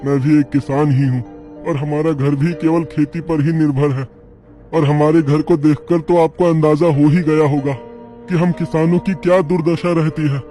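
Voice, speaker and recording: A man's voice: on the high side, fairly even intonation, moderate pace, mellow, soft background music, lightly acted. A man playing a humble, hospitable poor farmer, polite and deferential, in a moral-story cartoon. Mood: hopeful.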